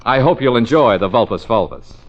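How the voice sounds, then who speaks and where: An actor playing a quick-witted, wry private detective who takes charge, in a 1940s radio drama style on an old recording. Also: male voice, mid-range voice, expressive, highs cut off, natural voice. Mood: smooth, slyly cheerful. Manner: brisk, wry, bossy.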